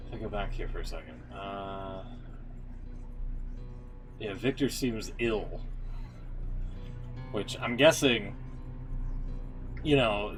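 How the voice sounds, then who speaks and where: A man's voice: lowish voice, distant mic, poor audio. A man streamer giving casual, rambling live commentary, hesitating and trailing off into asides. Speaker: streamer reacting to game story readings.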